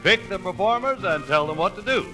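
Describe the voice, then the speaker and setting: A man's voice: high-pitched, expressive, brisk, music in the background. A male announcer reading scripted commercial copy in a folksy, salesmanlike 1940s radio style. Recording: vintage 1940s radio broadcast.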